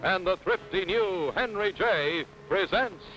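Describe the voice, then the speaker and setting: A man's voice: high voice, slow, bright, forceful tone, poor audio. A man reading a declamatory sponsor announcement to open a 1950s television show. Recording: vintage TV soundtrack with music.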